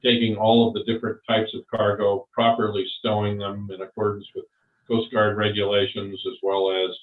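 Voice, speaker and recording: Slow halting older man, low and flat. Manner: slow, halting, many fillers. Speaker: interviewee, Vietnam veteran. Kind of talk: oral history interview. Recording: far off, roomy, phone-like.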